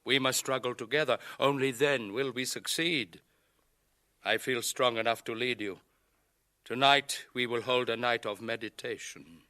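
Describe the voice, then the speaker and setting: Proud village headman, bright forceful voice. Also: medium pitch, fairly even intonation, a little slow. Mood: firm, rallying. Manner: declamatory, rhetorical questioning. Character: proud village headman, solemn, challenging, father figure. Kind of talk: 1980 radio drama acting.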